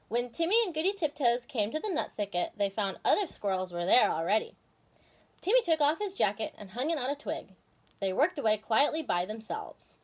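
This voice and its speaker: A woman hosting and reading a children's story expressively, with casual asides to viewers. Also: on the high side. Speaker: storyteller and video host.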